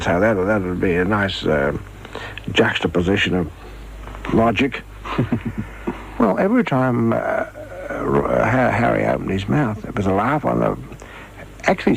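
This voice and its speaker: An older man with a husky, gravelly voice, telling anecdotes in an animated way. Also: on the low side, measured pace, long pauses, a little hiss or hum, low-quality recording. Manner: animated storyteller, acts out dialogue. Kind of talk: reminiscing anecdotes with acted quotes.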